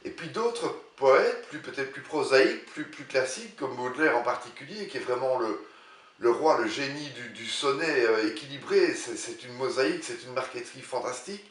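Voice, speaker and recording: A man in his sixties, an author answering interview questions in a halting way, often repeating words as he searches for them. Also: mid-range voice, expressive, a bit bright, recorded from a distance. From Belgium. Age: 60s. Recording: roomy sound, voice a bit off-mic.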